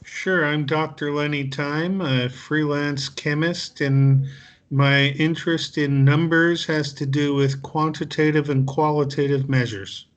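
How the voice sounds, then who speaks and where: A man's voice: a little high, fairly even intonation, a little slow. A man introducing himself briefly and matter-of-factly on a video call. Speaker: guest.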